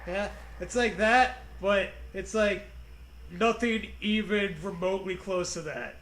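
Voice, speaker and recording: A man's voice: sits high, a little slow, fairly bright tone. A man co-hosting a comedy show with casual, joking banter, sarcastic and sweary. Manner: sarcastic, profane.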